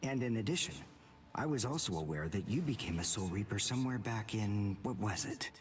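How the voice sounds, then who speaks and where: An actor playing a cold, arrogant teenage boy rival in an English anime game dub, speaking in a deliberate, condescending way. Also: on the low side, lively intonation, recorded from a distance, a little hiss or hum, natural voice. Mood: smug lecturing.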